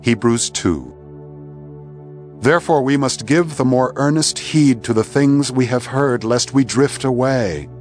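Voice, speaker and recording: A man reads scripture from a script as a narrator, in a solemn, measured and deliberate manner. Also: medium pitch, a little mellow. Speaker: Bible narrator. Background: background music.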